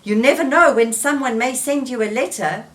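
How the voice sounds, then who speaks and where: A woman doing a bright, eager storybook frog. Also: on the high side, normal speed, voice pushed up, natural voice. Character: kind eager male frog, a helpful friend.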